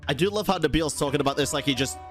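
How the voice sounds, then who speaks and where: Male streamer, highish, forceful, animated, slangy reactions, faint game music. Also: rapid-fire. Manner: slangy, brief interjections. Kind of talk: casual live gameplay reaction.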